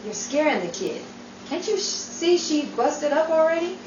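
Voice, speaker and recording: A woman acting as a steady, comforting young woman friend in 1940s stage style, heard from far off on an echoey stage. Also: sits high, moderate pace, highs cut off, noisy background. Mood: soothing. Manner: calm, comforting. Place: theater stage.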